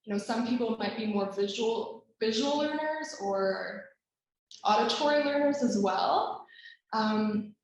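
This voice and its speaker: A young Taiwanese Canadian woman speaking softly and thoughtfully on a video call panel, with frequent ums. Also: fairly high pitch, measured pace, very echoey, rough recording.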